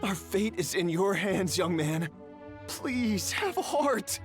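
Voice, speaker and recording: A man's voice: sits high, lively intonation, slow pace. Middle-aged male con man, game character, whining, pleading, stammering, swooping intonation, music underneath. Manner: theatrical, whiny, stammering. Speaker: voice actor in a game. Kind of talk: dramatic game voice acting. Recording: music behind the voice.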